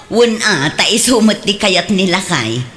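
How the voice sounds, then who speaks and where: A woman's voice: mid-range voice, expressive, a little slow, a bit bright, a little hiss or hum. A woman playing a well-off, boastful neighbour in a comedic radio drama, with a smug manner.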